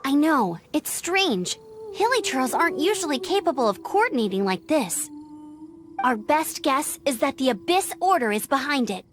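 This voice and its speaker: An eager young female scout with a high, lively voice that leaps around with enthusiasm. She sounds bubbly and upbeat, quick to cheer. Soft music plays underneath. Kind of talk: video game dub acting.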